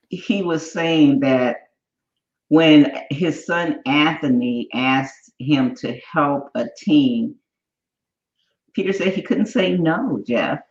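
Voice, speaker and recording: A woman co-hosting a show in a bubbly, effusive way, chatting casually and using lots of fillers. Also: extremely low pitch. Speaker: show co-host. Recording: echoey, dull room audio.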